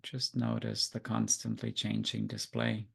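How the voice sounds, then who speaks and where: Gravelly, low-voiced man guiding meditation. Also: monotone. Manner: gentle unhurried instructions with long pauses. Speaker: meditation guide. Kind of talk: guided meditation.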